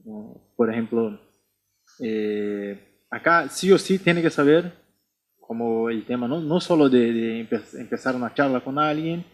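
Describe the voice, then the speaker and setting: A man from Brazil, native Portuguese speaker, co-hosting a casual podcast chat, talking haltingly with frequent restarts and repeated words. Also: mid-range voice. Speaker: podcast co-host, missionary.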